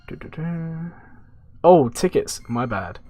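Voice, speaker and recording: A man with a blocked nose and a nasal voice, chatting casually on a gaming livestream and answering viewers' questions in a rambling, relaxed way. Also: fairly high pitch, slow. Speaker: streamer.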